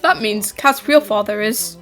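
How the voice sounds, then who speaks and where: A woman voicing an earnest, polite young boy, an inquisitive apprentice, in an animated fan dub. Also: medium pitch, a little slow, soft background music, close to an everyday voice. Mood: surprised.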